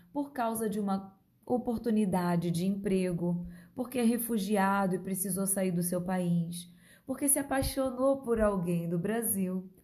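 A woman with a Brazilian Portuguese accent, narrating a scripted educational podcast as a language teacher, phrasing deliberately for learners. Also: medium pitch, dark tone.

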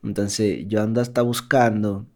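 A man from the Dominican Republic with a softened Dominican Spanish accent, co-hosting a casual podcast with his wife, joking and laughing often. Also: fairly low pitch, little pitch movement, measured pace. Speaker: podcast co-host. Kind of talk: casual couple chat.

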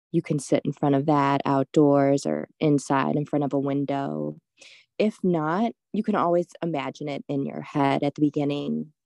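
A woman leading a guided meditation in a soothing, unhurried, gently instructive way. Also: deep voice, no room sound.

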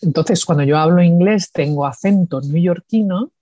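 A woman from the United States with an Andalusian Spanish accent, talking as an interview guest in a reflective way, with pauses and tag questions. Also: fairly low pitch, dark tone, no echo. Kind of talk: conversational interview.

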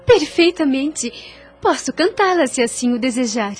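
A woman playing a gentle, pious young street singer and caring sister in a radio drama, speaking with earnest formality. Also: high-pitched, animated, normal speed, breathy edge, soft background music. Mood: courteous.

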